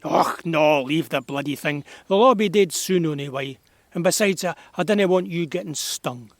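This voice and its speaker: A man playing a frail, grieving old Scottish beekeeper, gruff but whimsical, musing in broad dialect in an audio drama. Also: on the high side, normal speed, no echo, lightly acted. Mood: grieving, bleak. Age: older. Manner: musing, rambling, dialect-rich.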